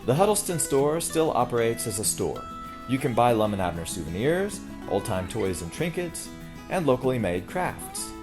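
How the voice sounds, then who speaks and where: A man's voice: mid-range voice, very animated, moderate pace, over music. A man reading scripted narration as the host of a local history tour series.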